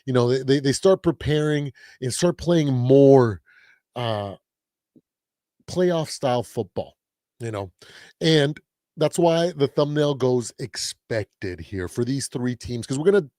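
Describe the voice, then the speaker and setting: A man hosting a casual sports talk livestream, his voice a little raspy while he gets over a cold, rambling with lots of restarts and fillers. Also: mid-range voice, takes it slowly, dark tone, dry recording, clean studio sound. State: recovering from a cold. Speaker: host.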